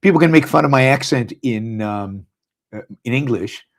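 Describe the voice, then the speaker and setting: Middle-aged male host with an Israeli accent, mid-pitched, loose live monologue full of ums and restarts. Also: lively intonation. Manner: halting, many fillers and restarts. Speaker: podcast host. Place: abroad, speaking on a live stream.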